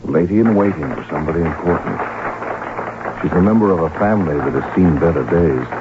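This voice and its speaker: A smitten crown prince in a radio drama, with a very deep voice and gently wry, formal manner. His measured, gallant lines come through a tinny, narrow vintage broadcast with music playing behind. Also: lively intonation. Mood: wry, thoughtful.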